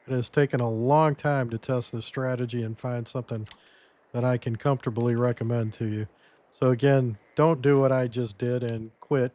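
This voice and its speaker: A man losing his voice a little, giving a relaxed step-by-step gameplay tutorial as commentary. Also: mid-range voice, normal speed. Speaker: game guide creator.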